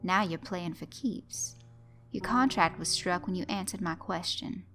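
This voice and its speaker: A woman's voice: fairly low pitch, measured pace, a bit bright, faint music behind. A woman doing a courtly, sinister games master. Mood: ominous polite. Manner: precise, courtly, ominously polite. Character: smooth, formal, menacing male master of games.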